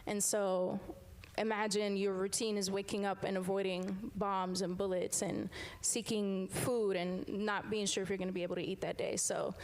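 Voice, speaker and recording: A woman's voice: medium pitch, level tone, darkish tone. Young woman performing spoken word poetry. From Sudan. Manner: rhythmic, repeating a refrain with emphatic pauses. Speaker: poet and teacher. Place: live event room with an audience.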